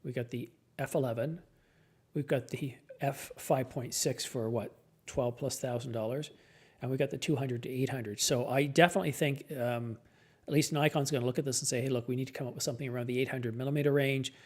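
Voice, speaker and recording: A man's voice: medium pitch, fairly even intonation, a little fast, a little mellow. A tired man hosting a late-night live stream, chatting casually and answering viewer comments as his voice starts to go.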